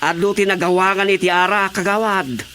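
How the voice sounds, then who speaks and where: A man's voice: high-pitched, fairly even intonation, a little fast, sharp tone, slight background noise. A man with an Ilocano accent acting a village watchman who makes reports in a radio drama comedy. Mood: accusing. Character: male village watchman excitedly reporting to his superiors.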